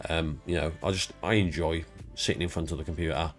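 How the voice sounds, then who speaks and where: A man's voice: extremely low pitch, fairly even intonation, fairly quick, soft background music. A man with a congested, stuffed-up voice, rambling casually and self-deprecatingly as he walks through his game collection for his channel. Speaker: YouTube creator.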